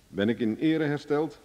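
A self-assured private investigator in an old radio drama. His voice is mellow and middle-pitched, with animated, wry phrasing. The recording carries a long reverberant tail. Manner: glib, ironic, self-assured. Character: cocky, cool-headed male private investigator, wry and bargaining. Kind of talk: Dutch radio drama acting.

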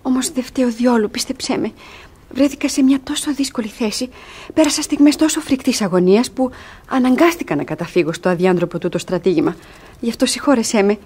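An actress playing a bold young runaway ward in a classic radio comedy, pleading yet proud and theatrical. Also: female voice, fairly high pitch, a little mellow, breathy edge. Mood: apologetic.

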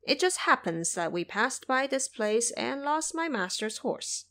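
A brash immortal monkey warrior, played by a woman in an audio drama. He speaks high and emphatically, boasting like a proud fighter. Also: big pitch swings, unhurried, pushed and bright, exaggerated voice. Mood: indignant, explaining. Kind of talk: audio drama character acting.

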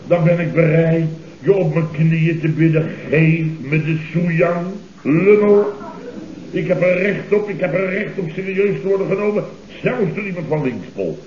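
Radio comedy boss played by a man: high-pitched, pompous, theatrically offended, muffled roomy vintage recording. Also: moderate pace, a little mellow, close to an everyday voice. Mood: indignant, demanding. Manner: pompous, repetitive, exclamatory. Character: blustering, indignant boss, theatrically offended and muddled. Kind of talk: comic radio play acting, around 1970.

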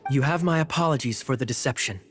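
A suave male knight captain from a video game , played by an actor. He speaks in a mid-pitched voice with sly composure and teases with ironic courtesy. Light music sits behind him. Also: fast, fairly bright tone, lightly acted. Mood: courteous, sly. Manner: ironic politeness, smooth teasing.

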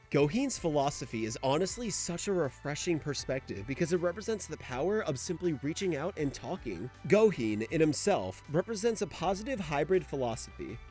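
A man's voice: on the high side, darkish tone. A man narrating a scripted video essay in an enthusiastic, informal way, with casual asides and some swearing.

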